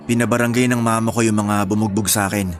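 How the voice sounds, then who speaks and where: A man playing a quiet, gentle homebody in radio drama dialogue, talking in a casual, matter-of-fact way. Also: on the low side, flat delivery, fast, natural voice. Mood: reflective. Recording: faint music under dialogue.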